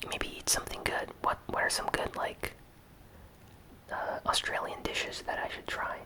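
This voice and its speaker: A gaming YouTuber chatting casually in a soft whisper while reading out and answering viewer comments. The speech rambles and is filled with "like". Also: rough recording. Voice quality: whispered, breathy, unvoiced delivery. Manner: relaxed, rambling, lots of "like" fillers.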